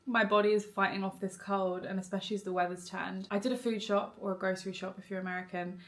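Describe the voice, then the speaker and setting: A young woman vlogging on her phone in a relaxed, chatty way, a bit bunged up from a cold. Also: mid-range voice, fairly quick. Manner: chatty and relaxed, rambling with frequent "like". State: congested, bunged up nose. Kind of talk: chatty vlog talk to camera. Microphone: phone camera.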